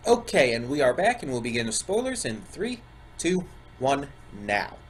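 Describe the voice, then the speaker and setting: Casual reaction host, male, mid pitch, stuffed-up nose, rambly with frequent ums. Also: very animated, normal speed, a little hiss or hum. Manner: rambling, many ums and restarts. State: stuffy nose, slight sore throat. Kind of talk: casual chat to camera. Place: home room with a window.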